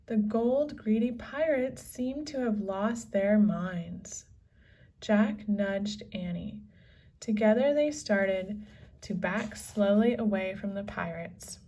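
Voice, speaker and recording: Very slow woman reading a children's story. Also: medium pitch, dark tone, distant mic. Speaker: storybook reader. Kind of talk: scripted children's story narration.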